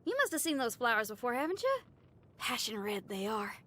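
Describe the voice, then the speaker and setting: A woman's voice: on the high side, sing-song, brisk, fairly bright tone. A woman playing a brash, upbeat, free-spirited young female rock musician in a video game, with a folksy, slangy and enthusiastic way of talking. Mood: chatty, expressive. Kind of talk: video game character voice lines.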